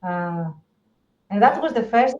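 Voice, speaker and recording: A woman co-hosting a podcast, chatting casually in an animated, agreeable way. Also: medium pitch, takes it slowly, dull audio. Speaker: podcast co-host. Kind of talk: casual chat.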